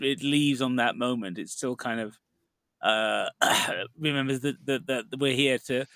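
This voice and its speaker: A man's voice: medium pitch, a bit bright, no room sound. Chatty British man rambling about films. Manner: rambling, many restarts and fillers. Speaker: podcast co-host. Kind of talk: casual film discussion.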